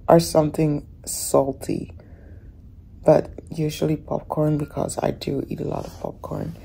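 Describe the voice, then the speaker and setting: A woman vlogging casually from a hotel room in the morning, her voice raspy and often dropping to a whisper. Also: low voice. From Netherlands. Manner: soft, often whispering in the dark.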